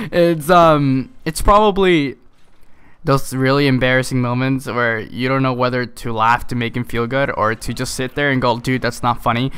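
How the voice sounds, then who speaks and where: A man's voice: medium pitch, sing-song, a little slow, a bit bright, clean tone, no room sound. A teenage male gamer telling a casual, rambling story in an exasperated, chuckling way. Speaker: video game YouTuber.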